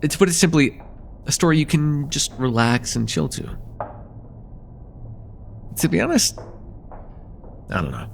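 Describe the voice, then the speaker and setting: A man's voice: medium pitch, creaky, a little hiss or hum. A man playing a friendly, teasing college-age guy in a casual, hesitant slice-of-life ASMR roleplay with a close friend. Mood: thoughtful.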